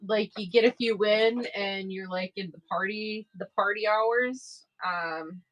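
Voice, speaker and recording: A woman's voice: mid-range voice, unhurried, highs cut off, slight background noise. A woman from Maine with a Maine accent, chatting casually and laughing as she sews during a live stream. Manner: chatty, laughs often, self-mocking asides; talks while working, moving around the table. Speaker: quilter streaming live sales.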